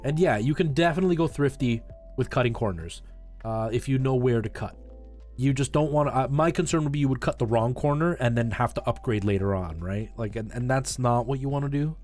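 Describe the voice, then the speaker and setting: A man's voice: medium pitch, fairly quick, a little mellow. A man streaming, chatting casually with his viewers and explaining things in a conversational way, often checking in with them. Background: music.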